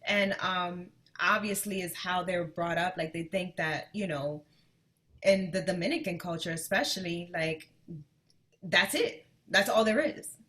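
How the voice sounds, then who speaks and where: A woman's voice: on the low side, unhurried. A woman in her thirties from New York with a New York accent, a podcast co-host telling stories in casual chat with lots of fillers. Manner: chatty, animated storytelling with many fillers.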